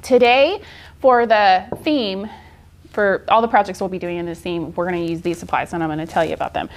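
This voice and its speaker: A woman's voice: fairly low pitch, a little fast. Casual woman teaching crafts over background noise. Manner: informal, encouraging. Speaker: art journaling instructor. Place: indoor art studio, seated at work table.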